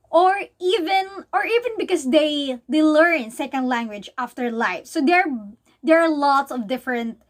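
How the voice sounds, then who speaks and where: A young woman with a Filipino accent and a high voice talks through a recorded answer for a class. English is not her first language; she grew up speaking Bisaya. She stumbles and restarts often, half reading from notes. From Philippines. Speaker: student. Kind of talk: recorded pre-test answer, half read.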